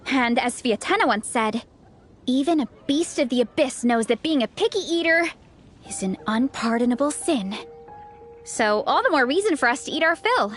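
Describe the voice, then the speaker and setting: High-voiced young game heroine, earnest, hearty and enthusiastic, over light music. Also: female voice, lively intonation, normal speed, fairly bright tone. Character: cheerful young woman, hungry fighter and devoted comic fan. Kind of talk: video game voice acting.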